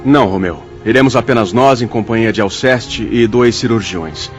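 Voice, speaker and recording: An actor playing a calm, decisive spirit leader in a radio drama, speaking in a measured, formal way. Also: male voice, fairly low pitch, faint music behind, lightly acted. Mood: calm, purposeful.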